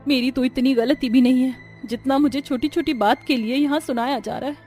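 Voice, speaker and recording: A meek young bride full of regret, played by a woman. Her voice is fairly high, emotional and apologetic, in the pleading manner of a cartoon family drama, over background music. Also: steady tone, a little fast, dark tone, close to an everyday voice. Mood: meek, regretful. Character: remorseful, meek young bride, once arrogant, now tearful.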